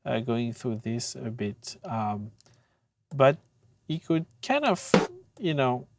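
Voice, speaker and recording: A tutor giving an informal software demonstration, speaking in a hesitant, halting way with frequent pauses. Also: mid-range voice, big pitch swings, heavy background noise. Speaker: audio mixing tutor.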